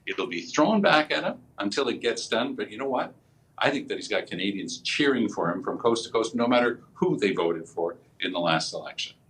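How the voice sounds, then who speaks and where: A man's voice: fairly low pitch, lively intonation, a little fast, a bit bright, rough recording. A man giving opinionated political commentary as a panelist, speaking emphatically and with assurance.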